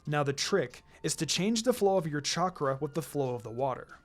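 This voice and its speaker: A narrator voicing a calm adult male ninja teacher with a measured, instructive and dryly teasing manner in a fan fiction reading. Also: mid-range voice, faint music behind, voice pushed down, lightly acted.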